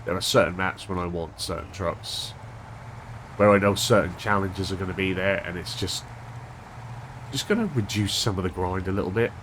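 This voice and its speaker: A man hosting a gaming channel, chatting casually over gameplay with a stuffed-up, unwell voice, thinking aloud with a monotone delivery. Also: low voice, moderate pace, a little hiss or hum. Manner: rambling, thinking aloud with restarts.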